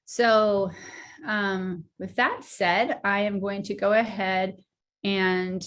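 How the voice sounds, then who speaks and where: Woman moderator on a video call, friendly, halting with fillers. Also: mid-range voice, steady tone, a bit bright. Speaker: panel moderator. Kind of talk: moderating an online panel.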